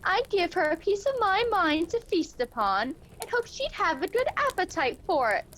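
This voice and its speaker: A woman's voice: very high-pitched, big pitch swings, normal speed, a bit bright, full character voice. A woman playing a poor, protective wife and mother with emphatic, scornful delivery in a radio play. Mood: bitter. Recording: faintly noisy home recording.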